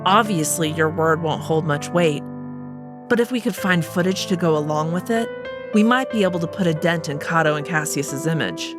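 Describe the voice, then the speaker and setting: A woman's voice: low-pitched, moderate pace, close to an everyday voice. Woman doing a stern wolf noblewoman, visual novel character, formal n dismissive, music playing behind her. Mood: cool, businesslike. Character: stern, bitter female wolf aristocrat.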